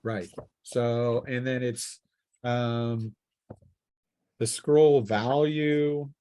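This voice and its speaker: A man acting as game master in casual tabletop role-playing talk, thinking aloud in a halting way. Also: mid-range voice, level tone, warm tone, clean tone.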